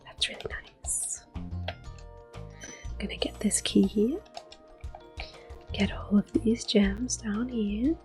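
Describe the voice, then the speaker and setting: A woman in her thirties giving soft-spoken, whispered ASMR commentary while playing a video game, gentle and unhurried. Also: mid-range voice, huge pitch swings. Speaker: ASMR gaming host. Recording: soft game music in background.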